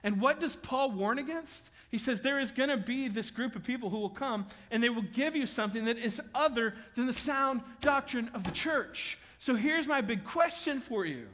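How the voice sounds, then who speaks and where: A man preaching a sermon in a church auditorium, chatty and joking with the congregation, then building to loud, emphatic bursts. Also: very high voice, lively intonation, moderate pace, few pauses, in a large hall. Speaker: pastor.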